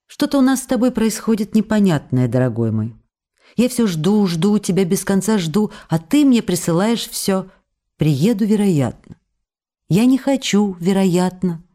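A woman's voice: mid-range voice, animated, measured pace, dark tone, close to an everyday voice. An actress playing a passionate young stage actress reading out her love letters in a tender, intimate, imploring manner for a radio play. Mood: reproachful, teasing.

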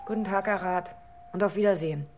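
A woman's voice: fairly low pitch, a little mellow, faint music behind, close to an everyday voice. An actress in a German radio drama playing an indignant, scolding landlady. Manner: indignant, brisk.

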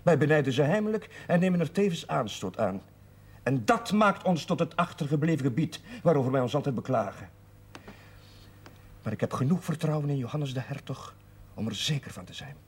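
Earnest young curate, husky-edged. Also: male voice, a little high, expressive, brisk. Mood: defensive, insistent. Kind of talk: 1970s Dutch television drama acting.

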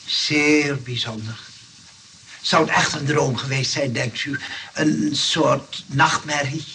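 A slow, creaky, fussy gentleman. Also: a little high, fairly even intonation, far from the mic, a little hiss or hum, exaggerated voice. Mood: puzzled, weary. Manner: hesitant, slow, formal and plaintive. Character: weary, fussy, easily exasperated gentleman neighbour. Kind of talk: Dutch radio play acting.

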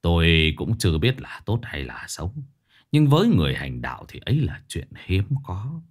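Man doing a deep stern old exorcist. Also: very animated, husky, exaggerated voice. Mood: confiding. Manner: slow, firm, commanding. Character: stern older male exorcist master, commanding.

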